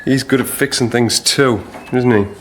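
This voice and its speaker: An actor playing a police detective who probes a suspect with pointed, sardonic questions. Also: male voice, medium pitch, fairly even intonation, normal speed, a little hiss or hum, close to an everyday voice. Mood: probing. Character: persistent male police detective. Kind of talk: radio drama acting.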